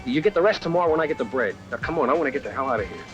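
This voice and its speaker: A man's voice: mid-range voice, animated, very fast, fairly bright tone, close to an everyday voice. A man with an American accent playing an impatient, hard-nosed small-time drug dealer in a 1970s training film. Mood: irritated, impatient. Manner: curt, irritable street slang. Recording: dull 1970s film sound, faint music.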